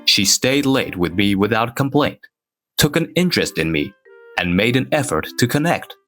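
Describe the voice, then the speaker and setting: A man's voice: mid-range voice, big pitch swings, moderate pace, sharp tone, soft background music. A man playing a reserved, self-doubting young office worker who narrates his own romance in a scripted manga dub. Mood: pensive, regretful.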